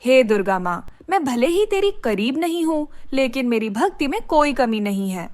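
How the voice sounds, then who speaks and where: A woman's voice: sits high, big pitch swings, moderate pace, darkish tone, no room sound. A woman voicing a devout, mistreated young daughter in a dramatized moral story, speaking in an earnest, emotional, pleading way. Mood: anguished prayer.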